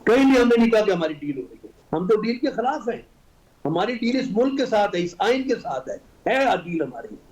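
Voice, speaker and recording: A man's voice: high-pitched, big pitch swings, brisk, a little mellow, slight background noise, poor audio. A middle-aged man with a Pakistani accent, a politician arguing his party's position in a panel debate. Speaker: senator and party guest.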